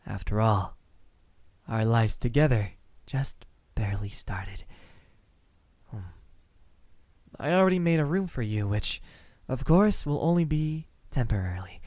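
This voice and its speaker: A man playing a lonely, centuries-old vampire suitor in an ASMR roleplay, speaking softly and haltingly with tender words that slip into whispers. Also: medium pitch, animated, dry recording, close to an everyday voice. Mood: shyly confident. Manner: soft-spoken, halting, tender, slipping into whispers.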